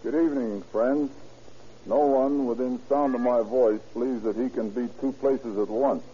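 A man's voice: mid-range voice, fairly even intonation, moderate pace, dark tone, a little hiss or hum. A man speaking as a police captain, reading a formal 1930s radio address in a solemn, stiff, moralizing way. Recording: muffled vintage radio recording.

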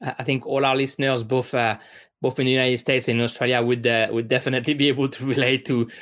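Male podcast host, mid-pitched, halting interviewer who restarts his questions often. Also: level tone, fairly bright tone. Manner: halting, stumbling over his words. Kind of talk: casual interview.

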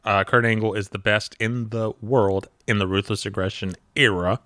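A man's voice: lowish voice, moderate pace, no echo. A man of thirty co-hosting a podcast, chatting casually and animatedly about wrestling and laughing often. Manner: animated, laughs often, quotes people in voices. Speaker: podcast co-host.

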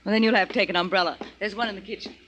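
A woman's voice: medium pitch, fairly even intonation, racing, fairly bright tone, close to an everyday voice. A woman playing a scientist's affectionate, perceptive wife in a 1950s radio drama, solicitous and genteel. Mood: breezy, affectionate. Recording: noisy 1950s radio broadcast recording.

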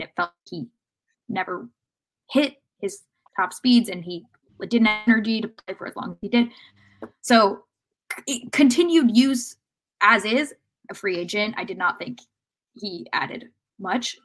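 A woman's voice: medium pitch, a bit bright. A woman giving casual, opinionated sports analysis as a podcast panelist, explaining her reasoning.

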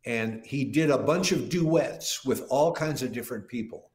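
A man's voice: medium pitch, normal speed, darkish tone. An older man, a guest musician, telling long, rambling stories in an interview and often restarting his sentences. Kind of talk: casual interview storytelling. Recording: roomy echo.

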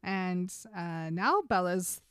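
A young woman co-hosting a podcast, chatting casually as she retells a book's scenes with quick put-on quotes and frequent laughs. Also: lowish voice, smooth, no room sound, studio quality. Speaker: podcast co-host. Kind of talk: casual book discussion chat.

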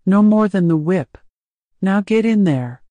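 Woman doing big alien slave master, fake friendly, slow and mean, dry close audio. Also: medium pitch, lively intonation, dark tone, no room sound, lightly acted. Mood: menacing. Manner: mocking, coolly menacing, deliberate. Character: genial cruel male alien slave keeper, massive. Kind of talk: audiobook character voice.

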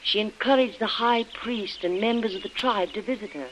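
An actress playing a composed, earnest plantation wife in 1930s radio style, speaking in measured phrases. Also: female voice, medium pitch, a bit bright, natural voice. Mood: grave, recounting. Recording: dull, noisy old radio recording.

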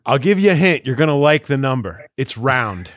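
A man's voice: medium pitch, very animated, moderate pace, no echo. Middle-aged male interviewer, close dry sound. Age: 40s. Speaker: sports interviewer. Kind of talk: casual conversational interviewing.

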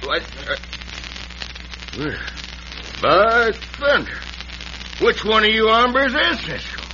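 An actor playing a blustery, folksy frontier sheriff with a Western drawl in an old radio drama. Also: male voice, high voice, sing-song, takes it slowly, sharp tone, exaggerated voice. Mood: flabbergasted. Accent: American Western drawl. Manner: folksy, exclamatory. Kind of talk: 1940s-50s western radio acting. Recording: muffled, lightly noisy old radio broadcast.

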